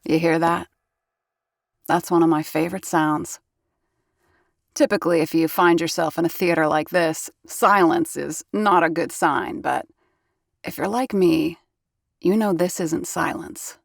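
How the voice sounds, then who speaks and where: A woman plays a sharp-tongued 1930s radio actor and sound effects artist in an audio drama. Her lowish voice carries a dry, sardonic edge as she narrates in character. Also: a little slow, vocal fry, long pauses, no echo, exaggerated voice. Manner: wry, sardonic.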